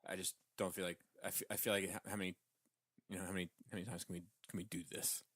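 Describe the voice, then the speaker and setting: Male podcast co-host, low voice, dry close sound, earnest casual explainer who swears. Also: little pitch movement, racing, a bit bright, no room sound. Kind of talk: casual chat about comic books.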